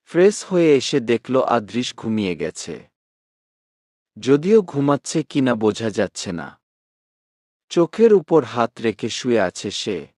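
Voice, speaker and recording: A man's voice: fairly low pitch, darkish tone, no room sound, studio quality. A man narrating a scripted romantic story expressively.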